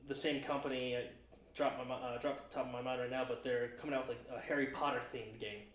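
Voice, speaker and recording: A man's voice: mid-range voice, flat delivery, fast talker, a crowd in the background. A man in his twenties hosting a casual podcast chat, rambling and thinking aloud with lots of fillers. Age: 20s. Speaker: podcast host. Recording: roomy echo.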